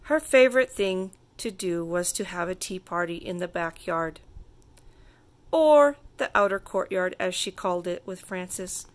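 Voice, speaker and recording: A woman narrating a children's picture book aloud in an expressive, deliberate manner. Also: lowish voice. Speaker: storybook reader.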